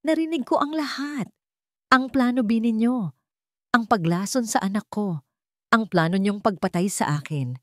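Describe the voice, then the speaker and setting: A woman's voice: on the high side, moderate pace, long pauses, a little mellow, no room sound, studio quality, pitched above the performer's own voice, close to an everyday voice. Woman playing a betrayed, seething rich man. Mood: furious. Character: betrayed wealthy man, cold, seething, then furious.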